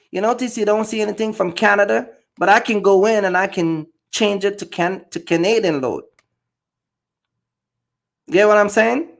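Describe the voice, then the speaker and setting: A man's voice: high-pitched, gravelly, a bit bright. A man from Jamaica, a box truck driver chatting loosely on a livestream with many fillers and repeated words. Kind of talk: casual livestream chat.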